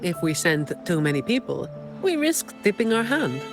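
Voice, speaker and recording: Wry, work-weary young operative, music behind. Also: female voice, on the low side, big pitch swings, moderate pace. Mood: matter-of-fact. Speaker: video game voice actor. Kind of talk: scripted game dialogue.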